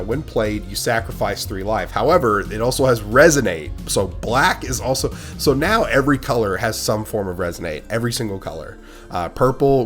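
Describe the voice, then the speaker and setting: A man with a lowish voice, hoarse and rough because he is under the weather. He chats casually through a card pack opening and perks up at good pulls. Faint music plays softly behind him. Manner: chatty, excitable over good pulls. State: hoarse from a cold. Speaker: gaming YouTuber opening card packs. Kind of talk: casual gaming commentary.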